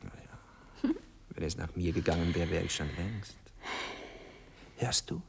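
An actor playing a self-important, touchy poet and lover in a 1960s radio play, languid and theatrical, often sinking to a murmur. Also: male voice, low voice, a little slow, slight background noise. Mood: languid, intimate. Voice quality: husky, breathy, gravelly.

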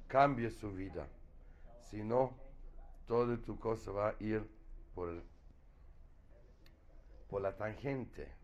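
Slow, emphatic male spiritual teacher. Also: low voice, a little mellow, slight background noise. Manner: slow, emphatic, dramatizes quoted voices. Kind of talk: spiritual lecture.

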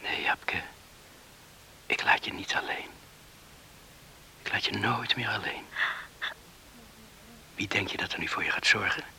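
An actor playing a tender, distraught young man in a Dutch radio drama, speaking softly and soothingly, often in a whisper. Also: lowish voice, unhurried, pauses often, full character voice. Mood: tender, hushed. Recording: hissy old radio drama recording.

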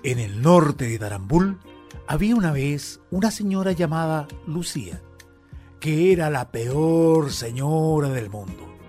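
A man's voice: fairly high pitch, expressive, a little slow, a little mellow. A man giving dramatic storybook narration for a radio play. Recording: faint music behind narration.

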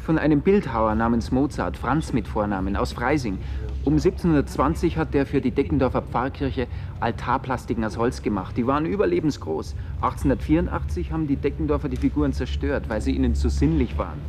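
An actor playing a brooding, wry writer who muses in halting fragments in a radio play monologue. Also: male voice, mid-range voice, a little mellow, natural voice. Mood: polite, insistent. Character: brooding wandering writer, a man, wry and melancholy. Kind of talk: first-person literary radio play monologue. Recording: clearly noisy ambient radio recording.

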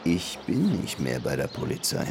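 A man's voice: deep voice, huge pitch swings, moderate pace, gravelly, background noise, exaggerated voice. An actor playing a disillusioned police lieutenant in his thirties who wants to write, brooding and wry, in a radio crime drama. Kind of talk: radio crime drama, first-person narration and dialogue. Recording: music behind the voice.

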